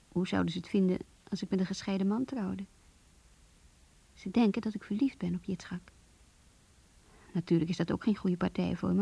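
A woman's voice: medium pitch, gravelly, mellow, slightly rough, dry recording. An actress playing an earnest, lovesick young woman who confides her diary in a Dutch radio play. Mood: wistful, longing. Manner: earnest, intimate.

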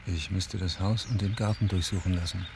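An actor playing a stern, weary police detective in a crime radio play, terse and brooding. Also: male voice, low voice, fairly even intonation, fairly quick, gravelly, background noise. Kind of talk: German radio drama acting, inner monologue.

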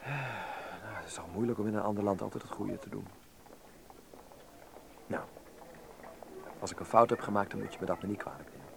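A man playing an earnest, sensitive young man in a radio drama, halting and polite. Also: fairly low pitch, vocal fry, slight background noise. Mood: reluctant, pleading. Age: young adult.

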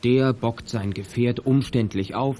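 A man's voice: medium pitch, measured pace, over music. A man narrating a children's audio drama in a scripted storytelling style.

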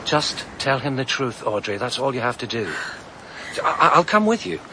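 A man's voice: mid-range voice, very animated, normal speed, noisy background, lightly acted. An actor playing an earnest, persistent male ex-policeman digging into a case in a radio drama. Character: earnest male ex-policeman turned amateur sleuth.

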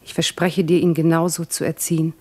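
An actress playing a wounded, dignified young wife and mother, measured and solemn, in a 1950s German radio drama. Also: low-pitched, level tone, mellow, husky. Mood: sad resolve.

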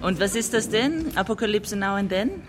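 Female instructor, casual briefing, animated pitch, clearly noisy location recording. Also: normal speed.

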